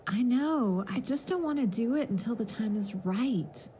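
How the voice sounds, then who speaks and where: A woman playing a gentle, cautious teenage girl in a motion comic. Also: on the high side, lively intonation, normal speed, slight background noise, natural voice. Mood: gentle, reluctant.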